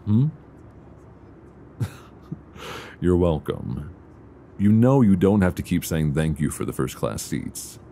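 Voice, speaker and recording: Casting: male actor as tender, teasing boyfriend for ASMR audio roleplay; extremely low, gravelly voice with supple intonation, close binaural mic. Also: sing-song, exaggerated voice. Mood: relaxed, affectionate. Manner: soft teasing, unhurried, conversational with hesitant pauses. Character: affectionate, playful boyfriend on a luxury flight. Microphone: close binaural microphone. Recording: close binaural recording, faint background noise.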